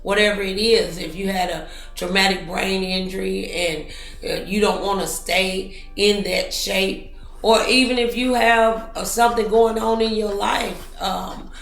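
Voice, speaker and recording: A woman's voice: mid-range voice, fairly even intonation, a little slow, gravelly, fairly bright tone, echoey room, soft background music. A woman hosting a motivational interview in an encouraging, preacherly manner. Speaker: talk show host.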